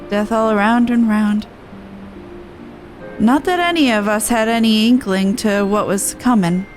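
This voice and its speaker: Woman playing a high-voiced, blunt resistance soldier. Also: natural voice. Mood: troubled, regretful. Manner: earnest, blunt, rough-and-ready phrasing. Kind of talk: game dialogue voice-over.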